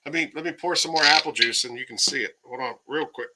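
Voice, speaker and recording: A man's voice: medium pitch, fast, very noisy. A man hosting a casual livestream, rambling with many fillers and greeting viewers by name while he loses his voice. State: losing his voice. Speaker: livestream host. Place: home, by himself.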